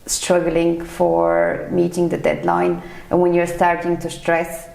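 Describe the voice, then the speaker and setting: A woman's voice: on the low side, completely flat delivery, takes it slowly, vocal fry, a little mellow, reverberant, poor audio. A woman from Bulgaria in her thirties, a finance professional, answering interview questions in a thoughtful, hesitant way with many fillers. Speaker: chief financial accountant, interview guest. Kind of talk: casual podcast interview.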